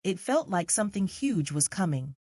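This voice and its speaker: A woman's voice: on the low side, very animated, normal speed, warm tone, no echo, clean studio sound. A woman reading scripted narration for a children's story as the narrator.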